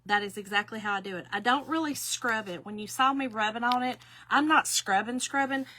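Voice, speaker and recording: Fifties woman, folksy craft tutor, bright and chatty. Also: fairly high pitch, a little fast, no room sound. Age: 50s. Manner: chatty, folksy, addresses viewers as y'all. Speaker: craft demonstrator.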